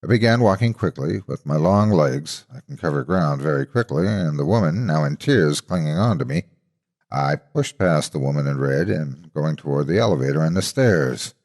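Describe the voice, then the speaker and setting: Deep-voiced man narrating horror stories. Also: expressive, normal speed, a little mellow. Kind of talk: dramatic scripted narration.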